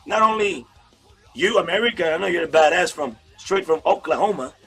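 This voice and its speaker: A man with a gravelly, husky voice hosts a casual chat over a video call. He talks in a friendly, rambling way and laughs a lot, with faint music behind him. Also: high voice, expressive, fairly quick, a bit bright. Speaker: YouTube host, interviewer.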